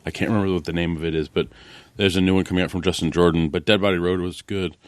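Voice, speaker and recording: A man's voice: deep voice, steady tone, very fast, no echo. A man talking casually as a co-host on a podcast. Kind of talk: casual panel chat about comic books.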